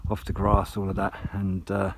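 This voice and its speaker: A man's voice: low voice, monotone, moderate pace. A British man chatting casually outdoors as a vlogger and portable radio operator. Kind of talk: casual outdoor vlog talk and radio chat. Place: outdoors on a sunny hilltop. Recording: outdoor recording with clear background noise.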